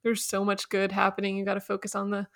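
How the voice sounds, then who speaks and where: A woman's voice: medium pitch, monotone, quick pace, gravelly, fairly bright tone. A woman hosting a podcast, chatty and casual, filling her talk with "like" and laughing easily. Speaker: podcast host, company founder. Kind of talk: casual chat.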